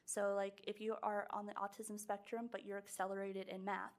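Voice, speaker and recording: A woman's voice: medium pitch, level tone, normal speed. A woman teacher giving a presentation to a school board and answering questions, talking chattily with many fillers.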